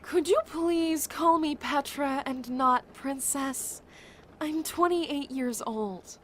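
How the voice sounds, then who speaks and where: A woman playing a polite, mild, curious woman in her twenties in a fan audio drama, speaking in a measured way. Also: high-pitched, fairly bright tone. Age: 20s. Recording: clearly noisy background ambience.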